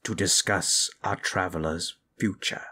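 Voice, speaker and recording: Casting: male actor as stern undead lich elder, very low and unhurried, formal fantasy roleplay delivery. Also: steady tone, sharp tone, husky, heavily acted. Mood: solemn. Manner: solemn, formal, inverted phrasing. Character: grave ancient male lich, stern and scheming mentor. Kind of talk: fantasy audio roleplay, improvised.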